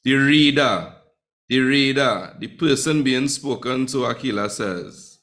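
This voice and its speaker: A man runs a live online Spanish class. He talks playfully, teasing his students and calling on them by name. His voice sits at an ordinary, mid-range pitch. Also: measured pace, a bit bright. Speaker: Spanish teacher.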